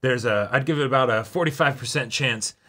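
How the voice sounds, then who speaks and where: Bright-voiced man in loose, circling chat. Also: mid-range voice, brisk. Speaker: co-host of an anime reaction show. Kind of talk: casual chat about an anime episode.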